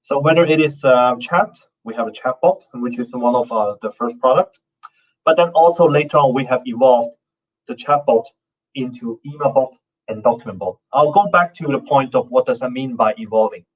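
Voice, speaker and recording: A man's voice: medium pitch, moderate pace. A man giving a conference talk as a company founder, speaking loosely with many fillers and restarts. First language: not Norwegian. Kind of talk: conference presentation. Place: conference stage. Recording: dull, muffled sound from a distant mic.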